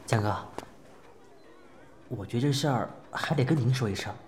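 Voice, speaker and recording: A man's voice: medium pitch, moderate pace, darkish tone, a little hiss or hum, natural voice. An actor playing a chatty, wary junior male assistant, teasing and anxious, in an audio drama. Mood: hesitant. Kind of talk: Chinese audio drama acting.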